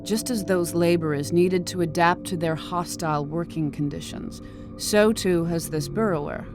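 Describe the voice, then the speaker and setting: Low-voiced woman narrating in a measured tone. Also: music in the background. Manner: measured, clinical description. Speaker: in-game bestiary narrator. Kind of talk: scripted narration.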